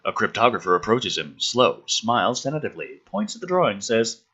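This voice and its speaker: A man's voice: lowish voice, sing-song, a little fast, pushed and bright, breathy edge, muffled recording. A man reading a script aloud with theatrical emphasis and incredulous, profane, exasperated commentary, as the host of a review show.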